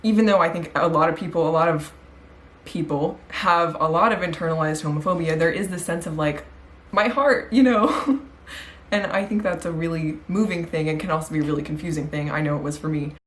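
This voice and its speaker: Teen girl, somewhat low voice, animated casual storytelling, bedroom webcam sound. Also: a little fast. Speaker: interviewee. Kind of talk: casual personal account.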